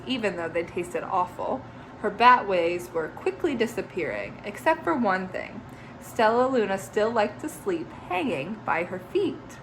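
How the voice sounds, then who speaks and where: A woman's voice: medium pitch, a little hiss or hum, poor audio. Teacher lady reading a picture book to kids, bouncy sing-song voice, little asides. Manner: expressive, with chatty asides to young listeners. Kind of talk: reading a children's story aloud.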